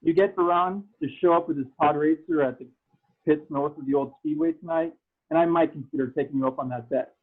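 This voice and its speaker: Man acting an arrogant gang boss, tinny phone-like call audio, slightly high male voice, taunting, condescending, table read. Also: steady tone, brisk, slightly rough. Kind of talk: table read of fan fiction. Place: remote video call.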